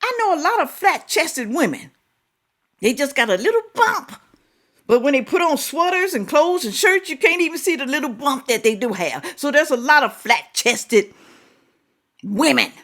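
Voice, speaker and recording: A woman hosting a reaction video, talking casually, scolding and animated with drawn-out exclamations. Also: high-pitched.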